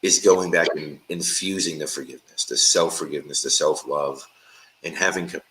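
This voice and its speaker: A man's voice: extremely low pitch, moderate pace, fairly bright tone, rough recording. A man hosting a casual interview, supportive and prompting his guest, often checking with "right?". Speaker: podcast host. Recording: faint background noise.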